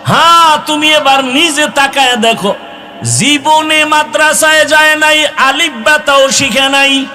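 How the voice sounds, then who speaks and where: A man's voice: very high-pitched, steady tone, unhurried, sharp tone. A man with a Bangladeshi accent preaching an impassioned Islamic sermon to young people, chanting and drawing out his exhortations. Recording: long hall reverb with music behind.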